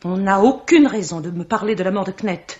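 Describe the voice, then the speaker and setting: A woman's voice: medium pitch, fairly quick, darkish tone, natural voice. An actress playing a distraught, bitter woman on the defensive in a vintage French radio mystery, speaking emphatically. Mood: indignant. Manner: melodramatic, emphatic. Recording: dull vintage radio sound.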